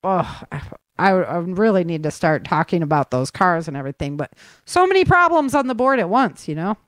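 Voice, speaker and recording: A woman's voice: fairly low pitch, big pitch swings, fairly quick, dry recording. An American woman hosting an informal podcast news roundup, chatty and speaking straight to her listeners with opinionated asides. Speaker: podcast host reading news.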